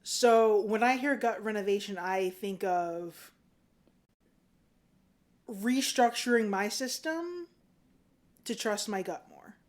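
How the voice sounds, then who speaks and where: A woman in her thirties talks as a guest on a podcast. Her voice sits at an ordinary middle pitch. She is easygoing and reflective, and laughs readily as she talks. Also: lively intonation, slow, pauses often. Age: 30s. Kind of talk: casual chat.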